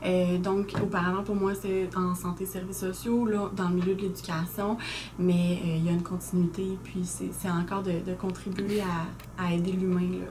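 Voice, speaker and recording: A woman's voice: fairly low pitch, little pitch movement. A young woman from Quebec with a Québécois accent, a newly named executive director speaking earnestly in a radio interview, with frequent hesitations. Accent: Québécois French. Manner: earnest and articulate, with frequent "euh" pauses. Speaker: guest, new executive director. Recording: noisy community radio studio.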